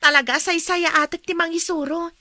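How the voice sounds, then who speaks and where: An actress playing an earnest, dutiful young graduate in a radio drama, expressive and respectful, with a northern Philippine accent. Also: female voice, extremely high pitch, brisk, no room sound. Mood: hopeful, dutiful. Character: earnest, dutiful young woman graduate, newly qualified teacher.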